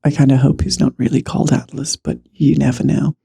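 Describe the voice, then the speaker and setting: A man who is losing his voice and sounds hoarse and raspy. He chats casually in an ASMR-style whisper, joking at his own expense and slipping between whisper and voice, into a handheld mic. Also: mid-range voice, little pitch movement, fairly quick, dark tone. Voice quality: raspy, guttural. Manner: chatty, self-deprecating, drifts between whisper and voice. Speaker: vlogger. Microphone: handheld microphone.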